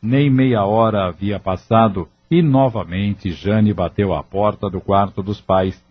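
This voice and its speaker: A man's voice: on the low side, a little slow, husky. A man reading scripted, dramatic narration for an audio novel. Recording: muffled audio drama recording with music.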